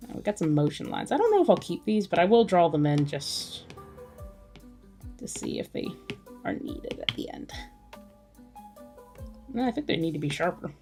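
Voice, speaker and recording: A woman's voice: on the low side, sing-song, moderate pace, long pauses, warm tone. A woman chatting casually as she draws on a livestream, thinking aloud in a musing way. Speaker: artist hosting a drawing livestream. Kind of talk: casual chat while drawing. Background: music.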